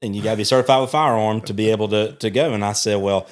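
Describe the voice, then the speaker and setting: Brief: male host, lowish voice, folksy storyteller. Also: steady tone, very fast. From Alabama. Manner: folksy storyteller, chuckling asides. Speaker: podcast host, church chaplain. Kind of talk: casual interview.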